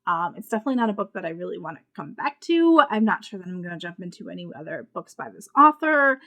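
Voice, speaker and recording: A woman's voice: fairly low pitch, sing-song, fast talker, a bit bright. Woman giving a casual book review. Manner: informal, thinking aloud in loose, rambling sentences.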